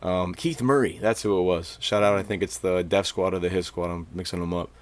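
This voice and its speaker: A man's voice: sits low, fairly even intonation, brisk. A man in his twenties from Maine, hosting a podcast in loose, slangy casual chat. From Maine, USA. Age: 20s. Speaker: podcast host.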